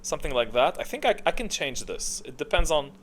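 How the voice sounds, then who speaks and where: A man's voice: fairly high pitch, sing-song, brisk, fairly bright tone. A man hosting a gaming tier list in a casual, rambling way, hesitating and correcting himself as he goes. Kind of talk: casual, rambling opinion commentary.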